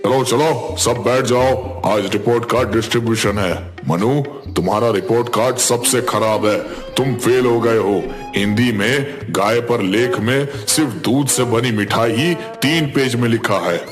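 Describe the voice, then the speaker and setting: A man's voice: mid-range voice, unhurried, few pauses, faint music behind, lightly acted. A man playing a stern, authoritative schoolteacher who admonishes his class in a cartoon. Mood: scolding. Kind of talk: Hindi cartoon dialogue.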